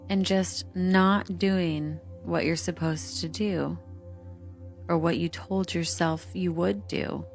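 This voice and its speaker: A woman narrating a scripted guided meditation in a soothing, hypnotic delivery, with measured instructions and lingering pauses. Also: fairly low pitch, gravelly. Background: calming meditation music.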